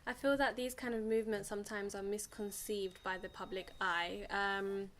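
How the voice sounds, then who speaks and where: A young woman talks steadily in a flat, even voice, pausing often on ums as she thinks. A faint background hum sits under the recording. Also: medium pitch. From England. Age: young adult. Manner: even, measured delivery with frequent ums. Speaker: guest. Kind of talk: casual studio interview.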